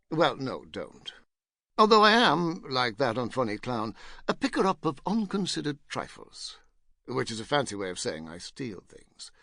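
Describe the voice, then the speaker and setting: A man's voice: medium pitch, fairly bright tone, no echo, clean studio sound. A man narrating a literary audiobook as a rueful, self-mocking, pompous ex-painter nearing fifty, fussy and digressive in manner. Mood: wry, confiding.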